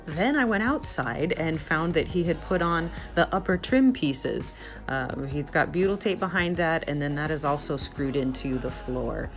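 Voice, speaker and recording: A young woman with a low voice talks through her project in a casual vlog voice-over. She sounds bubbly and easily excited. Soft music plays faintly behind her. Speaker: vlogger.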